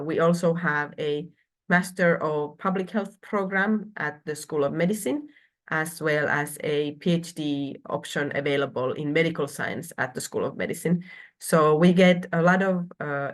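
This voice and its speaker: A woman's voice: sits low, normal speed. A woman from Finland in her thirties presenting a university program online, with frequent hesitations and filler sounds.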